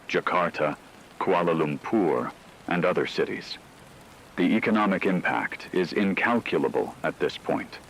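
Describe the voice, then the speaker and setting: A man playing a calm, grave radio news anchor, reading a scripted report in a measured, formal, factual way. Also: low voice, a little hiss or hum, poor audio.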